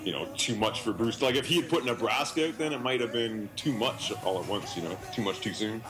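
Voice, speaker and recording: A man's voice: fairly low pitch, lively intonation, brisk, rough recording. Guy guesting on a podcast, casual and into it, chatty, call recording with music underneath. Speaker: podcast host appearing as guest. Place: remote Skype call.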